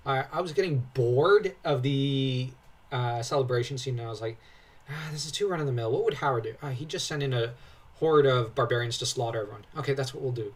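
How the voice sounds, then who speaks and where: Husky young man, slightly distant mic. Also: mid-range voice, a little fast. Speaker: co-host commentator. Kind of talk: casual lore breakdown commentary.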